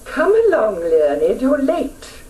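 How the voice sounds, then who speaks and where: An actress playing a brisk, commanding schoolteacher in a radio drama, speaking in clipped phrases. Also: female voice, fairly high pitch, very animated, warm tone, recorded from a distance, slight background noise. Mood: stern.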